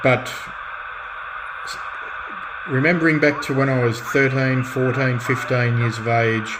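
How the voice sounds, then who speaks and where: Radio static and noise sit clearly behind a middle-aged man with a mid-pitched voice. He chats in a relaxed, unscripted way as a hobbyist, feeling his way through sentences and often starting them again. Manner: halting, reflective, often restarting phrases. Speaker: radio hobbyist and restorer presenting own channel. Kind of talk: relaxed off-the-cuff chat.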